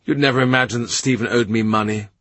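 A man's voice: medium pitch, fairly even intonation, quick pace, a bit bright, no echo, close to an everyday voice. A man voicing a shrewd middle-aged royal minister who talks in a dry, cutting, sardonic way. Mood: cool, sardonic. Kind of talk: audiobook character reading.

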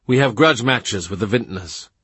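A man's voice: fairly low pitch, lively intonation, normal speed, pushed and bright, slightly rough, dry recording, heavily acted. A man voicing a shrewd commoner statesman who talks in a wry, matter-of-fact way. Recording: dry close recording.

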